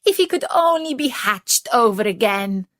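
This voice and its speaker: A woman's voice: a little high, animated, normal speed, fairly bright tone, no room sound, studio quality. A woman voicing a haughty, bossy old duck who rules a farmyard in a storybook tale, condescending in manner. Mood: pitying, disdainful. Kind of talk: storybook character voice.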